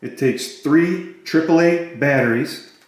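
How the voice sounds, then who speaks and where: A man's voice: on the high side, takes it slowly. Man in a very echoey room. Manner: plain, matter-of-fact, listing features. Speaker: product reviewer. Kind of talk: casual hands-on gadget walkthrough. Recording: very echoey, roomy sound.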